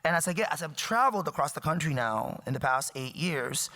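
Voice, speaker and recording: Thirties man from the Philippines as a guest speaker, wry and emphatic, bright voice carrying through a reverberant hall. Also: fairly high pitch, animated, a little fast. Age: 30s. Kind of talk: public talk. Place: lecture hall.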